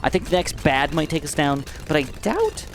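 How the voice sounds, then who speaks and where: A young man giving casual, excitable gameplay commentary, his voice a bit dry and raspy, swinging from upbeat outbursts to mumbled asides. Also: on the high side, moderate pace, faint music behind. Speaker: gaming YouTuber.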